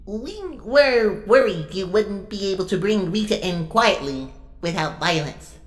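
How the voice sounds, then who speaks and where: A woman's voice: medium pitch, animated, a little slow, natural voice. A woman playing a fussy, well-meaning robot assistant in an audio drama, speaking in a formal, apologetic manner.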